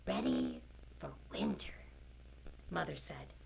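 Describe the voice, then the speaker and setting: A woman's voice: extremely low pitch, huge pitch swings, slow, creaky, breathy edge, whispered. A woman storyteller and co-host who chats informally and reads a picture book aloud, on a noisy live-stream recording.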